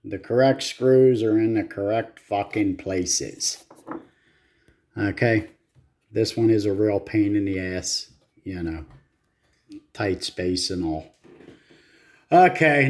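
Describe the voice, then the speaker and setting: A man with a slow, unhurried delivery and a dark, relaxed tone talks his way through a hands-on repair. He speaks in short, halting phrases, half to himself, and is casually profane. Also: fairly low pitch. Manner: muttering, halting, talking to self in short phrases. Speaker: hobbyist repairer filming own repair. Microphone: handheld camera.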